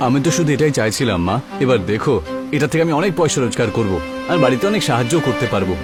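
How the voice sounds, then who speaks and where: A man's voice: mid-range voice, expressive, rapid-fire, lightly acted. A man voicing a determined, hopeful young village boy in a cartoon, earnest and reassuring. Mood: devoted. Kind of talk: cartoon character acting. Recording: music behind the voice.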